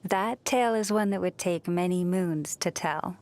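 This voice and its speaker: A woman voicing a gentle, serene moon priestess in a video game, speaking softly and soothingly with a reverent manner. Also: lowish voice, lightly acted. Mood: calm, reflective. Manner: soft, soothing, unhurried, reverent. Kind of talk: scripted video game character voice lines.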